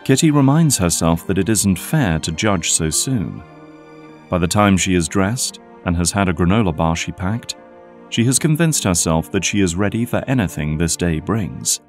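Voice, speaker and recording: Casting: male narrator, low pitch, scripted drama storytelling with light music behind. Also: animated, moderate pace.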